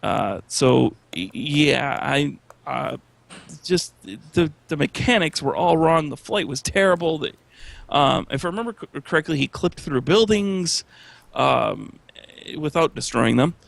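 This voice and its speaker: Creaky-voiced man, halting casual talker. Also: a little high, lively intonation, fairly bright tone, no echo. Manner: halting, repeats words. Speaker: panelist. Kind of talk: casual panel discussion.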